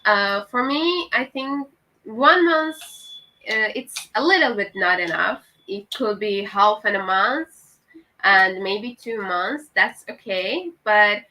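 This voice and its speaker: A young woman student, not a native English speaker, answering panel questions haltingly with frequent hesitations. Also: mid-range voice, sharp tone, low-quality recording. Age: young adult.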